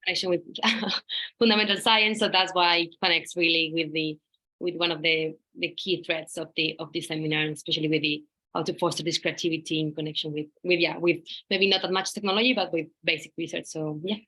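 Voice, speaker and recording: A woman's voice: sits low, steady tone, brisk, sharp tone, rough recording. A woman introducing herself in an online seminar, friendly and a little giggly. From Spain. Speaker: seminar participant. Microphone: video call.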